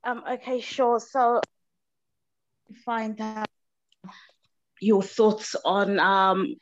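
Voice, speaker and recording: A woman's voice: medium pitch, steady tone, very slow, no room sound. A woman asking a tentative, thoughtful question at an online panel. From Zimbabwe. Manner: tentative, thoughtful, many fillers. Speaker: audience member asking a question. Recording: dry, dull lo-fi line.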